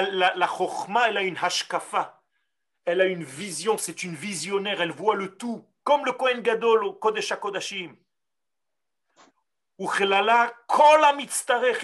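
A middle-aged man born in Israel, a rabbi with an Israeli accent and Hebrew as his first language, teaching a class over a video call. He reads lines slowly and then explains them with emphasis. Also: high-pitched, fairly bright tone.

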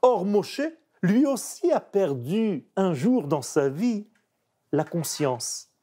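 A man's voice: sing-song, warm tone. A man who is not a native French speaker, giving a reflective spiritual talk in an interview. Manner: slow, emphatic, with wide pitch swings.

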